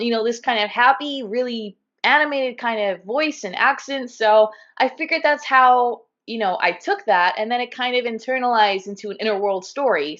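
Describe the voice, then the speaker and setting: Chatty Californian woman over muffled audio. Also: on the high side. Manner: chatty, animated, many fillers like "um" and "like". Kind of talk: casual conversation. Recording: muffled video call audio.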